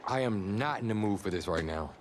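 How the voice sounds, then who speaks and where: A man's voice: lowish voice, expressive, brisk, slight background noise, lightly acted. A man playing a proud, defensive young college quarterback in a naturalistic video game cutscene. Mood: sullen. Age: young adult. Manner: defensive, argumentative.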